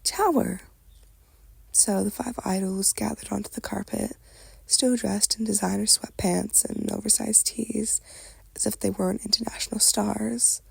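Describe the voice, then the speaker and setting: Female ASMR storyteller, very husky whisper with heavy creak, soft delivery. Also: mid-range voice, fairly even intonation, moderate pace, dry recording. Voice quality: breathy, whispery, crackly. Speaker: ASMR roleplay narrator.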